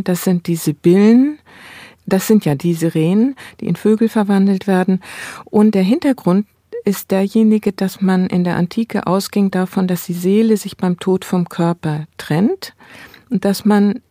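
A woman's voice: medium pitch, measured pace, warm tone, dry recording, studio quality. A woman speaking as an academic interview guest, explaining ideas in a reflective way. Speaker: interview guest, literary and cultural scholar.

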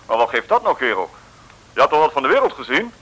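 An actor in a 1950s radio serial playing a breezy, tactless colonel who talks in a jovial, patronising way and repeats himself. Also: male voice, mid-range voice, sing-song, fast talker, a bit bright, low-quality recording.

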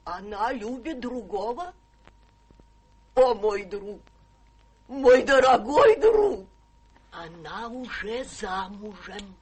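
Anxious, high-voiced lady's companion. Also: animated, takes it slowly, long pauses, a little mellow. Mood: anxious, cautious. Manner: breathless, pleading, melodramatic. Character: anxious, fussing genteel female companion of a rich lady. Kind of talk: stage-trained radio drama acting.

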